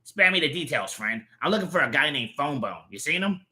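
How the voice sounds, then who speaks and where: A man's voice: a little high, very animated, brisk, sharp tone, pitched above the performer's own voice, heavily acted. A man voicing a pompous, impatient, scheming comic-book character with a brash, sarcastic, bossy manner. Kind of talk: comic book read aloud in character voices.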